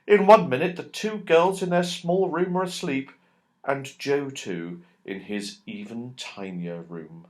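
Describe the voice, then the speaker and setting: A man's voice: mid-range voice, unhurried, darkish tone. A man narrating a children's storybook expressively, drawing out key words for emphasis. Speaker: audiobook narrator.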